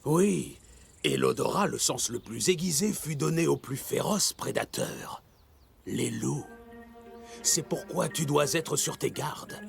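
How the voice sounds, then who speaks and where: A man playing an old, kindly animal elder telling tales in a children's cartoon, with a creaky, expressive voice. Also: a little high, exaggerated voice. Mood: storytelling. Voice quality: gravelly, creaky. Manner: slow, expressive storytelling. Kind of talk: French children's cartoon dub. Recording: music under the voice.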